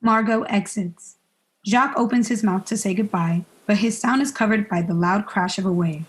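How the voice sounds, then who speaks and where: A woman's voice: mid-range voice, moderate pace, low-quality recording. A young woman reading stage directions as scripted narration for a student radio play. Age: young adult.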